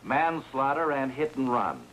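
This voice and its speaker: An actor playing a calm, probing police lieutenant in a 1950s TV crime drama, speaking in a measured, drily sardonic way. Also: male voice, mid-range voice. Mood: firm, confronting. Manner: measured, dry, probing questioning. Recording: noisy 1950s TV soundtrack.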